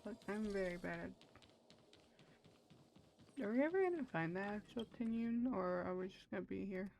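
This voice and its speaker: A woman's voice: mid-range voice, lively intonation, normal speed, creaky, a little mellow, a little hiss or hum. A woman game streamer reacting casually to the game she is playing. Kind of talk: casual live reaction to gameplay.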